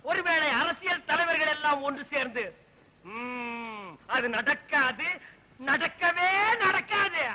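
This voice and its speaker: A pompous god of death in a mythological film comedy. The voice is very high and piercingly forceful, delivered as grand, scolding theatrical speech. Faint noise sits behind it. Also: male voice, animated, takes it slowly, heavily acted, poor audio. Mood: scornful, boastful. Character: pompous male Yama, lord of death.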